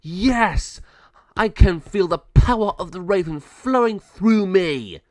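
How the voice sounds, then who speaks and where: A man's voice: medium pitch, big pitch swings, measured pace, no room sound, studio quality. Man playing a taunting villain sorceress. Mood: triumphant. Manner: taunting, mocking drawl. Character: scheming villainous sorceress, older sister.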